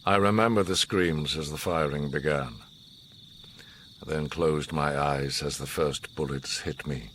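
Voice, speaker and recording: Older haunted war survivor, really low voice, solemn n commanding, radio play, insect noise or hiss behind. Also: male voice, fairly even intonation, fairly bright tone. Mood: sombre recollection. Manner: measured, solemn, philosophical pronouncing. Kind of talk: radio drama monologue and dialogue.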